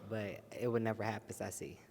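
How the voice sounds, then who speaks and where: A man's voice: on the low side, level tone, fast talker, vocal fry, darkish tone. A man in his mid-twenties, a dating show contestant, candid and easygoing. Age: 20s. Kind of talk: casual chat. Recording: echoey hall.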